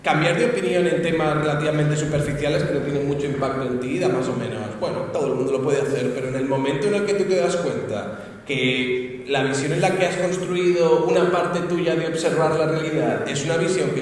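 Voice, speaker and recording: Panel speaker, man, slangy and animated, pitched a bit high, caught from far off in a big echoey hall. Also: slight background noise. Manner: colloquial, swears freely, animated. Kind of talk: casual round-table talk. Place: large conference hall.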